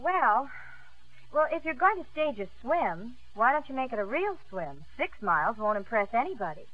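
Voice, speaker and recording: High-voiced plucky young woman, vintage radio. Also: sing-song, moderate pace, no room sound. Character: spirited young Australian woman swimmer, cheerful and plucky. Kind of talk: 1950s radio drama acting. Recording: muffled vintage radio broadcast recording.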